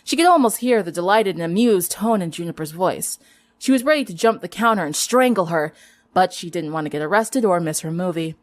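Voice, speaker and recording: A woman's voice: on the low side, animated, brisk. A woman reading a story aloud as scripted dramatic narration. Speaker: fan fiction narrator. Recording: music under the voice. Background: music.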